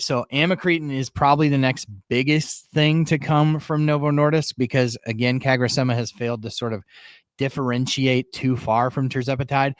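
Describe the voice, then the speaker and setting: A man presents news commentary as a show host, in a medium-pitched voice. He talks conversationally and earnestly, restating points for emphasis. The sound is dry, with very little room in it. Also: no echo. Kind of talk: informal news commentary to camera.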